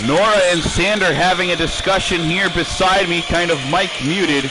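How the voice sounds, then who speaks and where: Male play-by-play announcer, high-pitched and excitable, music bed underneath. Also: moderate pace, poor audio. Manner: excitable, calls action with shouting bursts. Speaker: play-by-play commentator. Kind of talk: wrestling play-by-play commentary.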